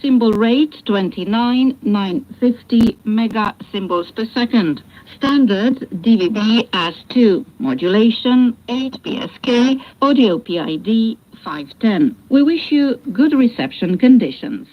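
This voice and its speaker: A woman radio announcer and newsreader delivering scripted international broadcast copy in a formal, measured way. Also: on the high side, lively intonation. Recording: dull shortwave radio broadcast recording.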